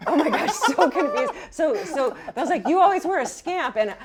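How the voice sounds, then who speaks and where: A woman playing a casual, skeptical farm guard in improvised tabletop roleplay, talking in halting, slangy bursts. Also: sits high, expressive, a crowd in the background, voice pushed up. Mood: baffled, teasing. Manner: halting, slangy, repeats words.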